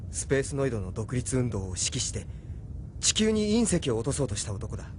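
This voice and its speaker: A man's voice: medium pitch, big pitch swings, fairly quick, darkish tone, a little hiss or hum, natural voice. A man voicing an earnest, doubting young man, a reluctant hero, in an audio drama. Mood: recollecting. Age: young adult. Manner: earnest, questioning. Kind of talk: Japanese audio drama acting.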